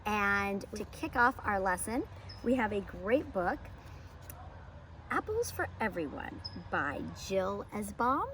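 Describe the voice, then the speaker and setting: Expressive woman teaching and reading to children. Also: medium pitch, slow, background noise. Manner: expressive, emphatic storytelling to young children. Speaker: homeschool teacher hosting a read-aloud. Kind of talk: children's picture book read-aloud and lesson presenting.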